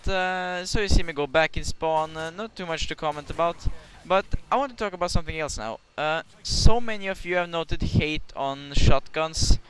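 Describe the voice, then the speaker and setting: A man's voice: fairly high pitch, fairly bright tone. A young man with a Norwegian accent giving casual, rambling commentary over his gameplay, swearing now and then. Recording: clear background hiss.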